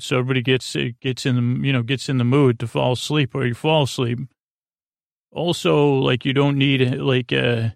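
Guy with a really creaky voice doing a rambly bedtime monologue, pretty flat, trails off and restarts a lot. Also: medium pitch, no echo, studio quality. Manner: meandering, halting, self-interrupting, soothing. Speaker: host of a sleep podcast.

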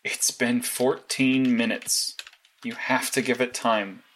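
A man's voice: mid-range voice, fairly even intonation, slow, a bit bright, slight background noise. A man playing a controlled, pushy vigilante mentor in an audio drama, speaking in terse, insistent orders. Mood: reassuring. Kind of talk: naturalistic audio drama acting.

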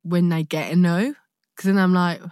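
Young London woman, actor as podcast guest, slightly low voice, dry sound, candid slangy storytelling. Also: moderate pace, clear, resonant tone, no room sound. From London, England. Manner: candid, expressive, slangy, sweary. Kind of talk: casual chat, animated storytelling.

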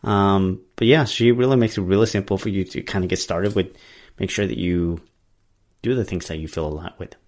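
Deep-voiced man hosting a podcast. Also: a little fast. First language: not English. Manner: relaxed, rambling with many fillers and restarts. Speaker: podcast host. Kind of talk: casual solo monologue.

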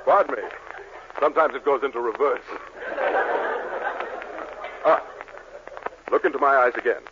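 An actor playing a psychiatrist who hypnotizes in a slow, soothing drone, in a 1940s radio comedy. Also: male voice, mid-range voice. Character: slow, droning male hypnotist doctor. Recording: lo-fi 1940s radio broadcast with hiss.

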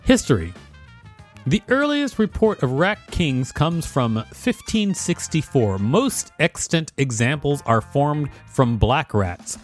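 A male podcast host reads reference text aloud with commentary. His pitch moves around a lot while his pace stays unhurried. The delivery is playful and theatrical, with faint music around it. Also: sing-song. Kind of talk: reading an encyclopedia page aloud with commentary.

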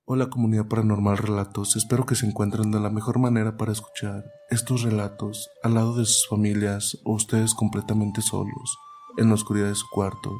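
A man's voice: on the low side, level tone, fairly quick, darkish tone, faint music behind. A man hosting and narrating a show of listeners' stories, reading them aloud in a storytelling style.

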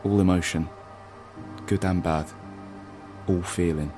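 An earnest male rebel guide in an audio drama. He has a fairly low voice and speaks with protective, insistent conviction, like someone who knows the plan. Music plays behind him. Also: steady tone, slow pace. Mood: grave, insistent.

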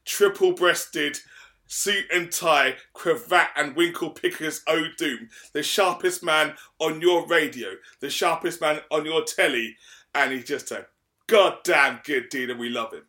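A man's voice: on the high side, a little slow, sharp tone. A man co-hosting a podcast with playful, boisterous slang. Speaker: podcast co-host. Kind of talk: casual banter.

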